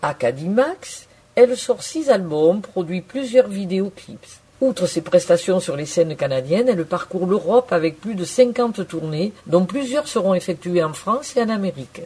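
A narrator reading a scripted portrait in a measured, informative way. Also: medium pitch, expressive, darkish tone, husky. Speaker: documentary narrator.

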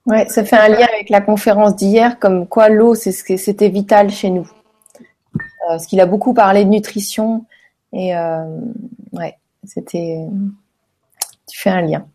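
A woman's voice: medium pitch, steady tone, a little slow, pauses often, dark tone. A woman taking part in a panel discussion, summarizing what the speaker said in a conversational way.

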